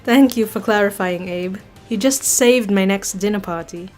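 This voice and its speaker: A woman playing a resolute young Victorian gentlewoman in an audio drama, deliberate and dramatic. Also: medium pitch, soft background music, natural voice. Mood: light, fond.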